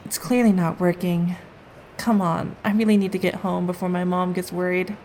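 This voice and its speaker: An actress playing a practical, impatient teenage heroine in an audio drama, with a casual, down-to-earth manner. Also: female voice, fairly low pitch, flat delivery, normal speed, a little mellow, lightly acted. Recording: faint background noise.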